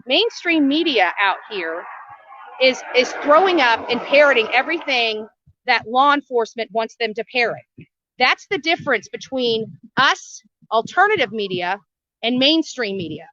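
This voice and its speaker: A woman's voice: on the high side, expressive, normal speed, bright, forceful tone, no echo, muffled recording. A woman reporting live to her viewers outdoors as an independent commentator, speaking in an indignant, blunt and emphatic way and repeating phrases for emphasis. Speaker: independent livestream reporter, host of own show. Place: outdoors at a roadside in front of a house.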